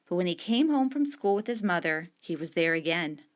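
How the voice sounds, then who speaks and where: A woman reading a short story aloud in a steady, unhurried storytelling manner. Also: on the low side. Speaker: story reader.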